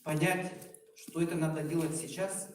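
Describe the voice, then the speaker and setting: A man in his forties with a fairly high voice speaks to a room as a doctor giving an informal testimonial. His delivery is halting but emphatic, with some self-irony. The sound is heavily echoed and picked up far from the microphone. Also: little pitch movement, a little hiss or hum. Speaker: doctor speaking at a promotional presentation. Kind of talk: informal public testimonial talk. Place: echoey indoor presentation room.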